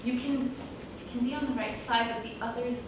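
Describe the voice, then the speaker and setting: A young woman on stage playing a self-justifying female villain in a comic musical, speaking deliberately and dramatically. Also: on the high side, steady tone, close to an everyday voice. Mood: doubting, defensive. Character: self-justifying female villain who disowned her Mexican heritage. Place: small indoor theatre stage, far camera. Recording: echoey stage from far off, audience noise.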